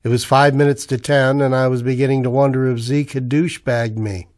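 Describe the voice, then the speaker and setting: A man's voice: medium pitch, fairly even intonation, brisk, a little mellow, close to an everyday voice. A man playing a wary, hard-up army-veteran husband in a radio drama, with a sardonic, colloquial delivery. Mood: wry, uneasy. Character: broke, wary army-veteran husband narrating his noir misadventure. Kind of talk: old-time radio drama acting and first-person narration.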